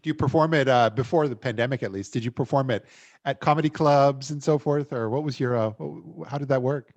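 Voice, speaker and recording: A man's voice: medium pitch, brisk, a little mellow, dry recording, highs cut off. A man hosting an interview show, chatting enthusiastically with many fillers. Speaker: show host. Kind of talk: casual interview chat.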